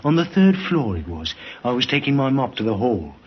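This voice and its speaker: Man, obliging porter, halting, deferential, husky, dull vintage radio recording. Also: mid-range voice, sing-song. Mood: hesitant. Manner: halting, deferential, stammering slightly. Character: hesitant, obliging male building porter. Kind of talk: 1950s radio drama acting.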